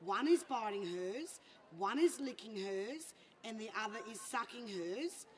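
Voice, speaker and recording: An actress playing a chatty character in an animated film, telling an anecdote conversationally. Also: female voice, on the high side, measured pace, slight background noise. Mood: chatty, wry.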